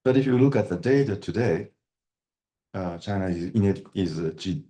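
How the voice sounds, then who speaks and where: A middle-aged man whose first language is not English, giving a university lecture, halting with many fillers and restarts. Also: low voice, animated, warm tone.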